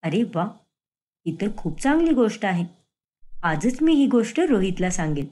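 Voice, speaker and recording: A woman's voice: mid-range voice, sing-song, moderate pace, dark tone, natural voice. A woman playing a sly young wife. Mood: pleased. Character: sly young wife, sharp-witted and watchful.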